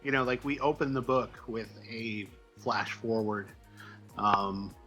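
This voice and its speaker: A man speaking as a guest writer in a casual interview, answering in a hesitant, halting way with trailing asides. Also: fairly low pitch, bright, forceful tone, faint music behind, poor audio. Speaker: comic book writer, guest.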